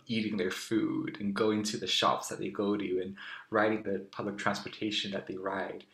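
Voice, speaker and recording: A young man from Taiwan, a guest musing thoughtfully through casual answers with soft fillers. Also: lowish voice, vocal fry. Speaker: interview guest. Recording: roomy echo.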